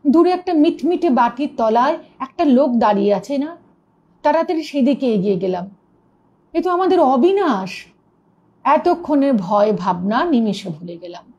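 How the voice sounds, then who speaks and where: A woman narrating a ghost story in an expressive, suspenseful storytelling manner. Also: sits high, normal speed, breathy edge, muffled recording. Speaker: story reader.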